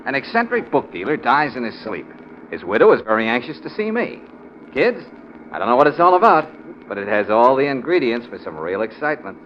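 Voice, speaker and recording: An actor with an American accent playing a confident, brisk troubleshooter in a 1940s radio drama. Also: male voice, mid-range voice, big pitch swings, natural voice. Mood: brisk, intrigued. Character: confident, brisk male private troubleshooter, eager for excitement. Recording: muffled, noisy 1940s radio recording.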